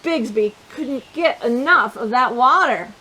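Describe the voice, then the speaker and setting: High-voiced woman reading a storybook. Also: measured pace, breathy edge. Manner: animated and playful, with chatty asides to young listeners. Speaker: children's storytime host. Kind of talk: reading a picture book aloud to children.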